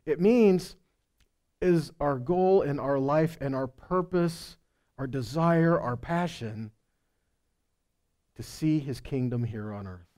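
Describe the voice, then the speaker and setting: Mellow middle-aged man preaching conversationally. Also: on the high side, takes it slowly, long pauses. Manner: conversational teaching with rhetorical questions and tag words like okay and right. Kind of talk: sermon with scripture reading and commentary. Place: indoor stage of a meeting room. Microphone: headset.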